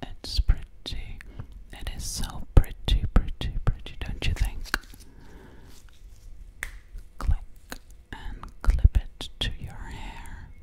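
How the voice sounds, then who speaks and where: Young female ASMR artist: unhurried, fully whispered, breathy, close-miked, with repetitive soft phrasing. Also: poor audio. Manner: slow, repeats words and phrases softly. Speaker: ASMR performer. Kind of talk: ASMR personal attention role-play. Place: small indoor room. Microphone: very close microphone, ear to ear.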